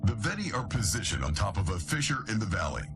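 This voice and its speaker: A composed military adviser reads out a mission briefing for a war game. His voice sits low and his delivery is formal and measured, with music clearly underneath. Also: sing-song. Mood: measured, serious.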